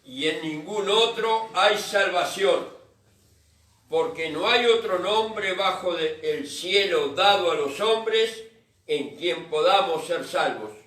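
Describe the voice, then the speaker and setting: Slow, solemn Bible reading in a roomy hall. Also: male voice, high-pitched, animated, a bit bright. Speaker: scripture reader. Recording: distant, roomy church recording.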